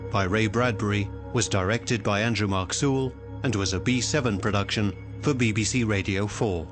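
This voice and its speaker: A man reading scripted radio credits in a measured, formal way. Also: on the low side. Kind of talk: scripted announcement. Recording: music under the announcement.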